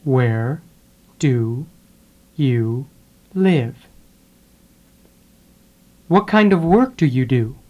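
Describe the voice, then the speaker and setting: A speaker drilling English phrases for learners, saying each sentence and then repeating it one word at a time with pauses. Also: medium pitch, lively intonation, lo-fi sound. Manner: deliberate, pausing after each word. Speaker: English teacher.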